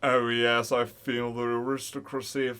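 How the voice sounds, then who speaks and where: Witzelnder junger Spielestreamer. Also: male voice, mid-range voice, level tone, measured pace, a bit bright, clear, resonant tone. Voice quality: joking, reads game lines aloud with mock gravity. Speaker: gaming streamer. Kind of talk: playful gameplay commentary.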